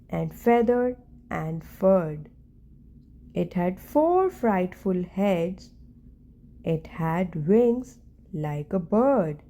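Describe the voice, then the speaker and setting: A woman reading a children's picture book aloud as a storyteller, in deliberate short phrases. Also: mid-range voice, mellow. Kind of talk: read-aloud bedtime story narration.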